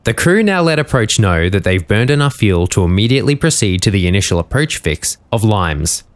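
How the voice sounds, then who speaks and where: A man's voice: on the low side, big pitch swings, brisk. A man narrating in a scripted, explanatory documentary style.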